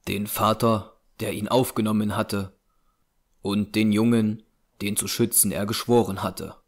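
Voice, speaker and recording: A man's voice: fairly low pitch, flat delivery, unhurried. A man narrating an audio drama in a scripted, dramatic storytelling style. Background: music.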